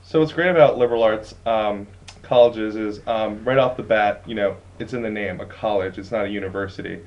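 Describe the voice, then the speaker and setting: A young male student from Brooklyn, New York talks casually to prospective students, full of ums and likes. His voice is fairly low, and the recording sounds dull and muffled. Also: brisk. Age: young adult. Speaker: student intern. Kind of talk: live online presentation to prospective students.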